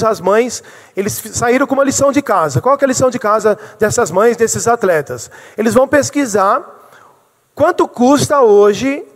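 A middle-aged man from Brazil, a city councilman, giving an earnest speech at a council podium, explaining his points and asking rhetorical questions. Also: high-pitched. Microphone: podium microphone. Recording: long hall reverb.

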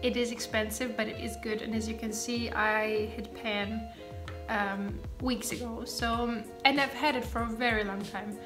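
A woman's voice: a little high, fairly even intonation, unhurried, over music. A woman in her thirties, Ukrainian-born with Russian as her first language, speaking English with a light British tinge, chatting through her skincare routine with lots of ums and asides. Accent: light British-tinged English over a Russian and German background. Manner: chatty, rambling, frequent ums and self-corrections. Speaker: beauty vlogger. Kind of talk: chatty get-ready-with-me monologue. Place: bedroom at home, indoors.

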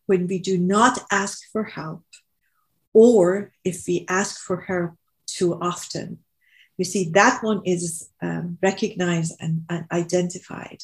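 A middle-aged woman hosting a coaching session on a podcast, explaining things in a measured way with deliberate pauses. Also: lowish voice. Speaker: host and life coach.

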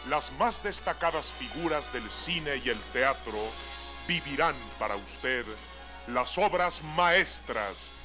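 A man's voice: on the high side, animated, slow. A man announcing a radio drama in a grand, declamatory vintage style. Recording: old radio broadcast under loud music.

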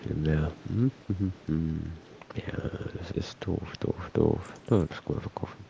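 A man's voice: rumbling low, a little slow, creaky, warm tone, lo-fi sound, a little hiss or hum. A man with a cold, casually rambling and muttering to himself while commenting on his gameplay. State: has a cold. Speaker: let's play host.

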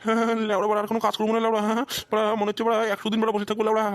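Man acting a cranky, groaning old father, talking fast. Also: high-pitched, completely flat delivery, very noisy. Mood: groaning, irritated. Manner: foul-mouthed, groaning, scolding. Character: cranky old village father with an aching back. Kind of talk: crude adult comedy cartoon dubbing.

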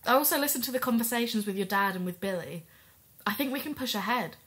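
A woman's voice: a little high, animated, fast talker, a bit bright. An actress playing a sincere, determined woman who co-hosts an investigative podcast in an audio drama. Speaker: podcast co-host.

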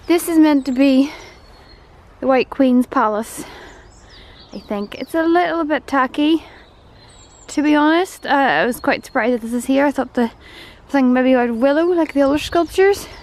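High-voiced woman chatting on a woodland walk. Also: moderate pace, darkish tone. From Northern Ireland. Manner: chatty, enthusiastic, talking while walking outdoors. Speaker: travel vlogger. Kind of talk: casual vlog narration while walking. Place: outdoors in woodland park.

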